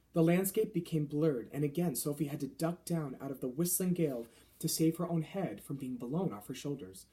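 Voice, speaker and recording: Casting: male storybook reader, expressive narration, very lively intonation, soft mellow tone, medium pitch.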